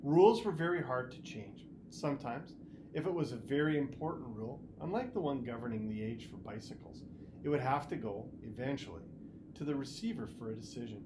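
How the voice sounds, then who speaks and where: A man reading a novel aloud in an expressive storytelling style, with emphatic, deliberate phrasing and short pauses. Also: medium pitch. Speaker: school superintendent reading aloud. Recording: noticeable background noise and ambience.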